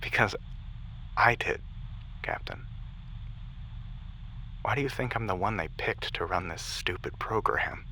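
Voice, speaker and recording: Casting: man to play determined, defiant mission-control major for sci-fi audio drama; sharp, forceful delivery with weary, hushed drops, over faint hum. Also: on the low side, a little slow, vocal fry, long pauses, full character voice. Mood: weary, compassionate. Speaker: voice actor in audio roleplay.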